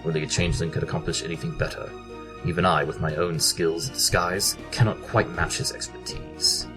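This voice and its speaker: An actor playing a pompous, analytical pony detective in an audio drama, speaking in formal, precise, lecturing sentences. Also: male voice, low voice, fairly even intonation, a little fast, music in the background, close to an everyday voice. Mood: lecturing, assured. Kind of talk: audio drama acting, deductive exposition.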